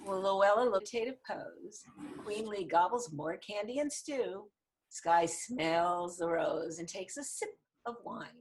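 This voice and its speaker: A woman's voice: medium pitch, animated, measured pace, slight background noise, rough recording. A woman reading screenplay stage directions as scripted narration over a video call.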